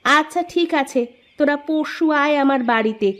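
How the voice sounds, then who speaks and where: A woman's voice: sits high, normal speed, soft background music. A woman voicing a kindly, teasing middle-aged village aunt in a cartoon. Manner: warm, teasing, motherly. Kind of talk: cartoon voice acting.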